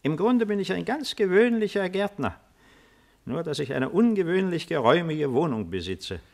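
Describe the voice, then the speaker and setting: An actor playing an old retired Roman emperor, weary, dry and authoritative, in a 1950s German radio drama. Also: male voice, on the high side, big pitch swings, moderate pace, vocal fry, a little mellow. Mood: wry, weary. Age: older.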